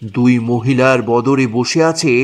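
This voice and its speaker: A man's voice: mid-range voice, slow, slight background noise. A man narrating a horror story dramatically in the first person as a boatman.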